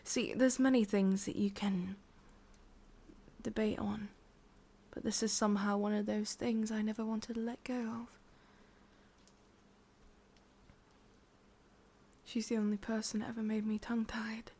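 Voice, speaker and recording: A woman with an English accent voicing a sorrowful, obsessive witch in an intimate ASMR roleplay, speaking softly in halting, confiding whispers. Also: medium pitch, little pitch movement, lightly acted. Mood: wistful, confiding. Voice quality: soft, breathy, mostly whispered. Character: sorrowful, obsessive female witch, tender yet menacing.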